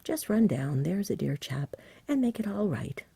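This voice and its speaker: A woman's voice: mid-range voice, big pitch swings, normal speed, creaky, warm tone, husky, no room sound, pitched above the performer's own voice, heavily acted. A woman voicing a lazy, fussy, polite dragon in a whispered ASMR storybook reading. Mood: lazy, confident. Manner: languid, polite, mildly plaintive. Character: lazy, genteel, fussy dragon who refuses to fight.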